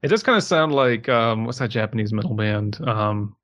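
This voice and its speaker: A man's voice: fairly low pitch, animated, normal speed, fairly bright tone, dull audio. A man talking casually as a podcast panelist, sometimes reading aloud and commenting as he goes.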